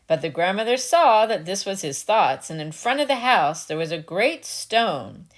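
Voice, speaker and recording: A woman's voice: medium pitch, sing-song, moderate pace. A woman storyteller giving a dramatic scripted narration of a fairy tale.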